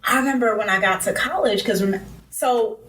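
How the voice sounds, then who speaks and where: A young woman with Jamaican family roots, co-hosting a casual chat and telling animated stories with quick asides. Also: mid-range voice. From United States, Jamaican family. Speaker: podcast co-host. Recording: echoey room, mic at a distance.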